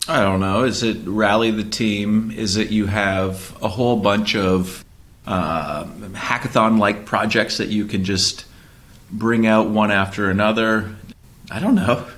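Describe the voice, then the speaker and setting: A man's voice: fairly low pitch, steady tone, measured pace, fairly bright tone. A man co-hosting a podcast in casual conversation, musing tentatively and often posing questions. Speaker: podcast co-host, venture capitalist. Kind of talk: casual chat.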